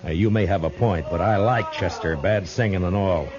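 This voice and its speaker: An actor playing a steady, terse, authoritative lawman in the style of a 1950s radio western. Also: low voice, normal speed, over music, natural voice. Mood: hard, in control. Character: steady, commanding Old West lawman. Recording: dull vintage radio recording.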